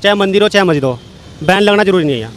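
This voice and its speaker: Regular guy in a street interview, highish voice, explains stuff haltingly, bit of traffic noise. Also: animated. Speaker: member of the public being interviewed. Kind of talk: informal street interview answers. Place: outdoors on a street.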